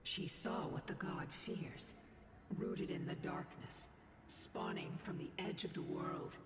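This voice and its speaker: An actor playing a cryptic, ominous narrator who passes judgment on humanity in a formal, portentous game voice. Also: male voice, fairly high pitch, animated, slow, gravelly, background noise, full character voice. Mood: ominous, solemn. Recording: distant, echoing game audio over music.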